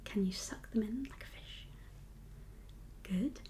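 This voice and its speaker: A woman speaks in a breathy whisper, playing a doctor in an ASMR roleplay. Her delivery is very slow and soft-edged, with gentle, reassuring instructions given step by step. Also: mid-range voice.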